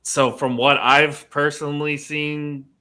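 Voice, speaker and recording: Younger guy on a sports panel, sharp bright voice, casual opinions. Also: a little high, flat delivery, slow, smooth.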